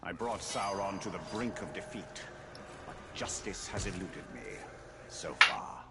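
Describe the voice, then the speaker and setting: An actor playing a solemn, betrayed fantasy warrior seeking justice, narrating dramatically in a video game. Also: male voice, sits low, measured pace, very noisy. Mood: solemn, brooding. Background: loud music.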